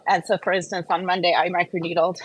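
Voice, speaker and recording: A woman's voice: lowish voice, little pitch movement, fast, breathy edge. A woman hosting a casual live Q&A, talking in an informal, rambling, chatty way. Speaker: live-stream host.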